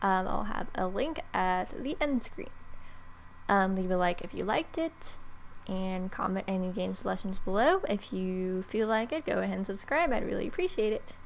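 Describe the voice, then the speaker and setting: A woman's voice: mid-range voice, animated, normal speed, vocal fry. Young woman chatting casually, some background noise. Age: young adult. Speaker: gaming video host. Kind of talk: casual chat to viewers, signing off a let's play. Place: small bedroom at home.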